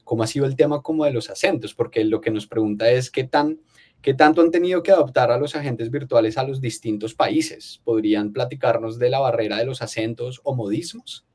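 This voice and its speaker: Man moderating a panel in a mellow voice. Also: mid-range voice, brisk. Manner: friendly, conversational, playful asides.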